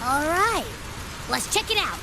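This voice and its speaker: A woman's voice: sits high, big pitch swings, a little slow, background noise, heavily acted. A woman voicing a small, cute female creature companion in a video game, cheeky and eager, with a playful, childlike manner. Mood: confident.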